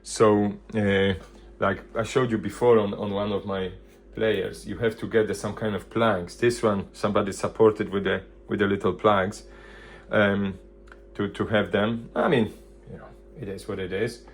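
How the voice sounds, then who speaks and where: A man presenting a casual hands-on product demonstration, thinking aloud in loose, halting phrases. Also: on the low side, little pitch movement. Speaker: vintage hi-fi video presenter.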